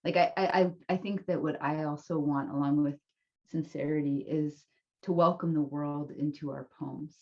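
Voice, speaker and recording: Low-voiced woman, halting, slightly muffled. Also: fairly even intonation. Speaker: poet on a panel. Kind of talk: casual, reflective panel discussion. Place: remote video call. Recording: dull, lo-fi video call audio.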